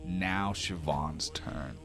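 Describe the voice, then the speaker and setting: A man's voice: low voice, extremely slow, sharp tone, faint music behind. A man narrating a tabletop game as game master, in a casual, descriptive way that mixes storytelling with rules talk. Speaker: game master of a tabletop role-playing podcast.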